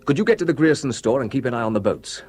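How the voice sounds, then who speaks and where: A man's voice: medium pitch, expressive, fast, natural voice. An actor playing a curious young man on leave who senses something odd, in a radio play. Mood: hushed. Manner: curious, quietly alert. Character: young male officer on leave, amateur sleuth. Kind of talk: radio drama acting.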